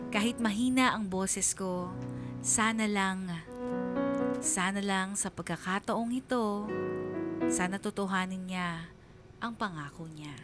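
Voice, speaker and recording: A lonely schoolgirl voiced by a woman narrates a radio drama in a medium-pitched voice. Her delivery is measured, anxious and sorrowful, as if confiding, with clear music underneath. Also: natural voice.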